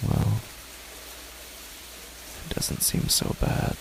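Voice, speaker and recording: A man voicing a grieving parent alone in a dark, silent house, speaking in a slow, hushed, dreamlike monologue for a horror story. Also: a little hiss or hum, low-quality recording. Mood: eerie, hushed. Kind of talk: first-person horror narration.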